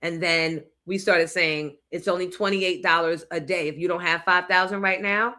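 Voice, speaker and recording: Woman, stream host, low-ish pitch, bright tone, upbeat and promotional. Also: fairly even intonation, normal speed, a little hiss or hum. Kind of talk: casual live-stream chat.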